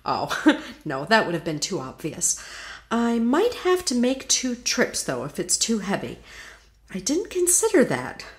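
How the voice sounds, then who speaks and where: A woman's voice: a little high, huge pitch swings, a little slow. A woman playing a greedy, cold-hearted widow in an old-time radio drama, with a haughty, imperious way of talking. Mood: smug.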